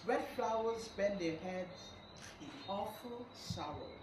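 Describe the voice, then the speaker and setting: A woman's voice: medium pitch, animated, slow, darkish tone. A young woman, a performance poet, dramatically reciting a poem at a live event. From Guyana. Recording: echoey room with clear noise.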